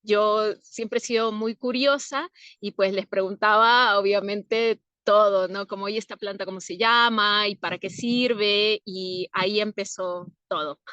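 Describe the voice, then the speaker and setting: A woman from Peru, an herbalist answering interview questions in an unhurried, thoughtful way with soft laughs. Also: medium pitch, fairly even intonation, smooth, no room sound.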